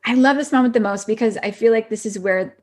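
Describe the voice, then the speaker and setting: A woman's voice: a little high, fast, darkish tone. A woman guest on a podcast, talking casually and effusively, peppering her speech with "like" and "you know". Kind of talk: casual chat, personal reflection.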